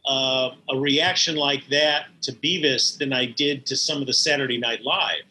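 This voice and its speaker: A middle-aged or older man, an animator being interviewed, explaining things in a measured, hesitant way. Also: medium pitch, fairly even intonation, sharp tone, slight background noise, poor audio. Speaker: animator guest. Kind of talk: reflective interview conversation.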